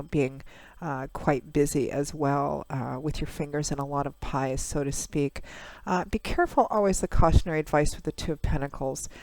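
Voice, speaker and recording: A woman tarot reader, hoarse from a cold, talks through a monthly reading in a measured, conversational way with frequent pauses and fillers. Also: deep voice, darkish tone, no echo. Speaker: tarot reader, channel host.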